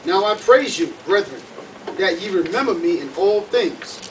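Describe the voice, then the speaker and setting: High-pitched man reading scripture, noisy distant recording. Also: animated, normal speed. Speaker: scripture reader assisting the preacher. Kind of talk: reading Bible verses aloud. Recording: distant mic, clear background noise.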